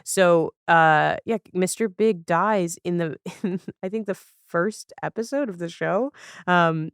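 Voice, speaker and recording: A woman's voice: fairly low pitch, clear, resonant tone, no room sound, clean studio sound. A woman hosting a casual podcast conversation, chatty and rambling in the way she talks. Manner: chatty, rambling, quick to laugh. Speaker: podcast host.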